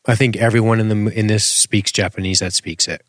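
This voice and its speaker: Man, low voice, casual recap co-host, teasing, dead dry sound. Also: steady tone, a little fast, dry recording. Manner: steers the talk, dry teasing humor. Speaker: podcast co-host. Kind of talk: casual TV episode recap chat.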